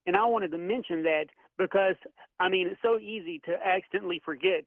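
A man from Florida calling into a radio show, friendly and halting as he searches for words. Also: sits high, dry recording. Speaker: caller. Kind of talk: phone-in caller. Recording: tinny phone line.

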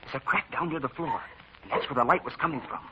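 An actor playing an eager, excitable young male sidekick in a 1940s radio drama. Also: on the high side, fast, close to an everyday voice. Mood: anxious eager. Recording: dull lo-fi old radio broadcast with hiss.

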